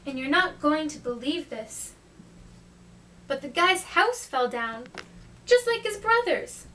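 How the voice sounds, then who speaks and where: A woman's voice: high-pitched, expressive, slow, fairly bright tone, a little hiss or hum, heavily acted. A woman voicing an aggrieved, sly male wolf who tells his side in a chatty, self-justifying puppet show manner. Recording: distant microphone.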